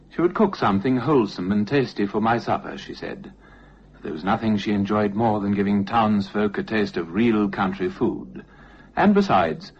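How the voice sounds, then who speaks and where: A man reading a ghost story as scripted narration, in an expressive, theatrical manner. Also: on the low side. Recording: muffled, noisy lo-fi recording.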